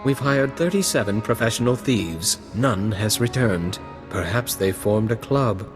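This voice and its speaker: Droll, lively young fop of a lord. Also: male voice, on the low side, unhurried, music in the background. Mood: dry, flippant. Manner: arch, drawling, wry. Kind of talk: video game voice acting.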